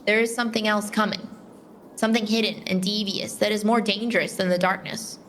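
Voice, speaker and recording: A woman playing a steadfast, plain-spoken young Titan warrior in a fan audio drama, earnest and measured. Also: mid-range voice, steady tone, a bit bright, slight background noise, close to an everyday voice. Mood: solemn, caring.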